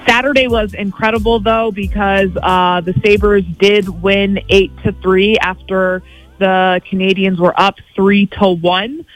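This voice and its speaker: A woman's voice: medium pitch, dry recording, low-quality recording. A young woman acting as the show's sports correspondent, teasing the host and recapping games with lots of "um"s. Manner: teasing, quick recaps with many fillers. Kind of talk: casual sports chat, teasing.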